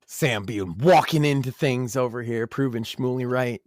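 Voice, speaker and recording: A man's voice: medium pitch, normal speed, no echo, studio quality. A man from Massachusetts with a light Northeastern accent, co-hosting a casual podcast and joking profanely. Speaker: podcast co-host. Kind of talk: casual chat.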